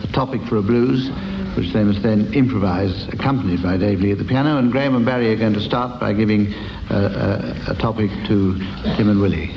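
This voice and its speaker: A British man hosting a comedy panel game in a dry, halting manner. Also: lowish voice, vocal fry, lo-fi sound. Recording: noisy old broadcast recording.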